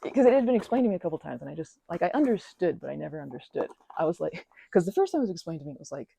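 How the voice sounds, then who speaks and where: A woman hosting an ASMR channel, rambling in a chatty, giggly way with lots of fillers and a breathy voice that slips into whispers. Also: sits low, sing-song, rapid-fire, warm tone. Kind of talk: soft-spoken rambling chat.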